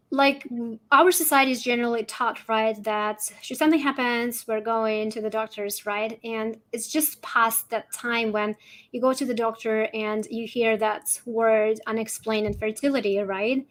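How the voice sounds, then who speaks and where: A woman's voice: on the high side, fairly even intonation, measured pace. A woman with a Russian accent explaining things conversationally as an interview guest, often checking in with "right?". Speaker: guest, holistic fertility coach.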